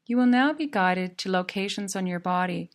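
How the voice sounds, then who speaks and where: A woman narrating a guided yoga relaxation in a calm, measured, soothing manner. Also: mid-range voice.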